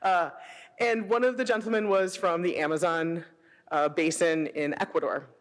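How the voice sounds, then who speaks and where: American woman, middle-aged, animated storyteller, informal public talk, long hall reverb. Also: on the low side, moderate pace. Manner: animated storytelling, acts out quoted dialogue. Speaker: city sustainability director presenting. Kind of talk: informal public presentation with personal anecdotes. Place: presentation hall before an audience.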